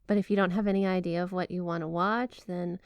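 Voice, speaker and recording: A woman's voice: on the low side, flat delivery, fast, clear, resonant tone, dry recording, muffled recording, lightly acted. Woman acting a sweetly menacing, flirty yandere wife, close and dry. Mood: coy, flirty. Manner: gushing, coy, giggly, with dark possessive undertones. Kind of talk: ASMR roleplay, addressing the listener as spouse. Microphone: close, dry microphone.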